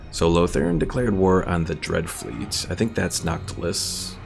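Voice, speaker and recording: A man's voice: sits low, expressive, moderate pace, gravelly. A man giving casual game commentary as a streamer, thinking aloud and reading game text out. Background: game music.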